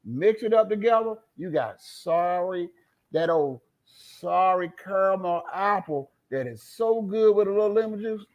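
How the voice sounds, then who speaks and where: A man's voice: high-pitched, lively intonation, measured pace, lo-fi sound. A man from North Carolina, a guest on a live stream, telling playful stories in a casual, boastful way. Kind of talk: casual storytelling.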